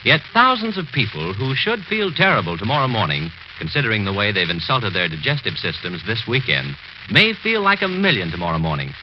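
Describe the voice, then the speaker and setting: A man's voice: lowish voice, wildly swooping pitch, brisk, dull audio. A man announcing a scripted 1940s radio advertisement on an old, noisy recording.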